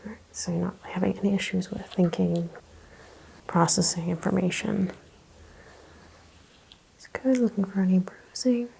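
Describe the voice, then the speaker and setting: A woman speaking softly and breathily, often in a whisper, giving gentle, reassuring instructions as a chiropractor in an ASMR roleplay. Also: mid-range voice, steady tone, moderate pace, vocal fry, long pauses, a little hiss or hum, low-quality recording. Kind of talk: ASMR, soft-spoken clinical roleplay exam.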